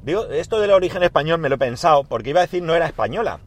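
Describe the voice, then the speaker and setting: A man's voice: on the high side, expressive, very fast. Man with a sore throat musing in his car. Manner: rambling, self-correcting, thinks aloud with many fillers. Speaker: podcast host recording a daily audio diary. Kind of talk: casual spoken diary monologue. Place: inside a car.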